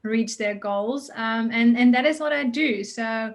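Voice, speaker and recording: A woman's voice: on the high side, measured pace. Woman with a mixed Dutch accent. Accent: mixed Dutch and South African. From Netherlands. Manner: earnest, with frequent ums and restarts. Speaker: results coach guest. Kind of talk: casual podcast interview.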